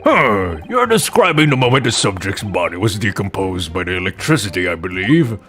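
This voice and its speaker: A man voicing a measured, formal male lawyer in a courtroom video game. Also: fairly low pitch, very animated, fairly bright tone, soft background music, pitched below the performer's own voice.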